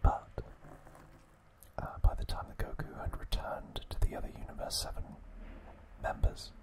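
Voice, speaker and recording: A man hosting an ASMR video, whispering trivia in a hushed, deliberate manner with pauses.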